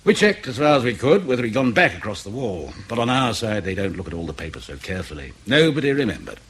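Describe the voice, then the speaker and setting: A man's voice: lowish voice, big pitch swings, fast talker, fairly bright tone, husky, full character voice. An actor in radio drama playing a defensive spy in his late forties, curt, sardonic and guarded. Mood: terse, weary. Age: 40s. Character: bitter, defensive veteran British spy, aged forty-nine.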